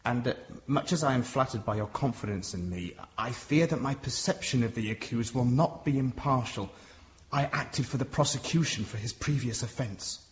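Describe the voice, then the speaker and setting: A man's voice: mid-range voice, moderate pace, slight background noise, close to an everyday voice. A male actor plays a deferential Victorian barrister in a radio drama. His mid-range voice is formal and careful, slightly muffled in an echoing room. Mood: deferential, uneasy. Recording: echoey courtroom, slightly muffled.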